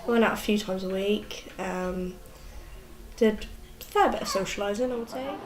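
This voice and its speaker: A woman's voice: medium pitch, moderate pace. A 20-year-old woman talking candidly in a documentary interview, in an informal, plain-spoken way. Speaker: young mother being interviewed. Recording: echoey room with faint background noise.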